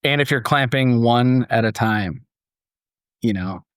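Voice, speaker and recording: A man with a medium-pitched voice hosts a panel podcast. He sounds conversational and easygoing in style, whether chatting or reading a story aloud. The recording is close and dry. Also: a little slow, clean tone, no room sound. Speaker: podcast host.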